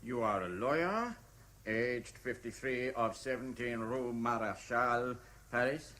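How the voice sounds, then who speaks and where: An actor playing a stern, formal examining magistrate in a radio drama, speaking in a clipped way. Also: male voice, mid-range voice, takes it slowly, bright, forceful tone. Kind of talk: 1970s radio drama acting.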